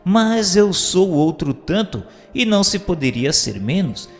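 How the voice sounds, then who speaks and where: A Brazilian man reading formal scripted narration aloud in a measured, declamatory way, like an audiobook. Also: high-pitched, big pitch swings. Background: music.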